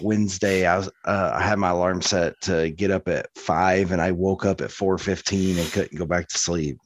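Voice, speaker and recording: A man co-hosting a podcast, chatting casually in colloquial, mildly sweary language. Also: sits low. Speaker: podcast co-host. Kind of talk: casual chat.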